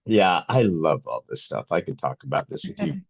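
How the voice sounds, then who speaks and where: A man with a very low, husky voice hosts an interview casually. His delivery is folksy and informal, swinging widely in pitch, and the sound is slightly dull. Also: huge pitch swings, moderate pace. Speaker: podcast host.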